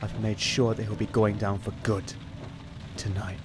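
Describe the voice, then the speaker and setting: A man playing a grim, determined detective inspector in a noir radio play, with a deadpan delivery. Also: fairly low pitch, moderate pace, music in the background, close to an everyday voice. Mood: grim resolve. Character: brooding, determined male detective inspector narrating his bleak story. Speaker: actor in amateur college radio play.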